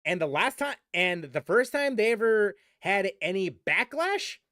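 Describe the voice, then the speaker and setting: A man's voice: high voice, sing-song, a little slow, pushed and bright, no room sound, studio quality. A man streaming a game and ranting casually, rambling with many restarts and fillers. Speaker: gaming streamer.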